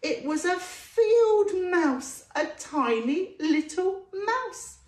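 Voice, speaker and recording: Woman doing storytime for little kids, super animated and sing-songy, stretches words out, bit echoey. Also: very high voice. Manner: sing-song, drawn-out emphasis, addressing small children. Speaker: children's storytime presenter. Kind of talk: storytelling to young children with questions and phonics.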